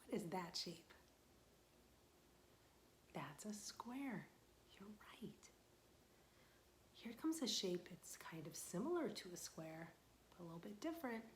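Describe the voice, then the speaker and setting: A young woman librarian leading a storytime for young children, asking questions in a sing-song voice and dropping to soft whispers for suspense. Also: mid-range voice, sing-song, slow pace, long pauses, a little hiss or hum.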